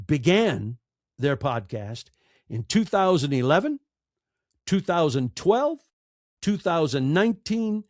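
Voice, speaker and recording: Man in his 60s, American accent, slightly high-pitched voice, earnest podcast guest, emphatic short phrases, dry recording. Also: darkish tone, no echo. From United States. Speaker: podcaster and storyteller, interview guest. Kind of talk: reflective conversational interview answers.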